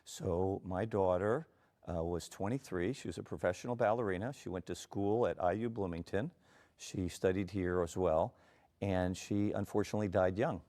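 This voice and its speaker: A man's voice: sits low, moderate pace, mellow. A middle-aged man guest on a TV morning show, speaking sincerely and reflectively as a parent. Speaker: parent and ballet creator. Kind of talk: TV interview.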